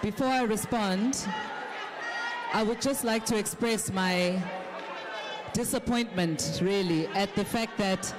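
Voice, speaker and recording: A woman's voice: mid-range voice, takes it slowly, long pauses. A South African woman giving an emphatic political speech at a town hall, pressing her points with rhetorical questions. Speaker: political party representative on a panel. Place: large hall at a public town hall. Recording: noisy hall with loud crowd. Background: audience noise and chatter.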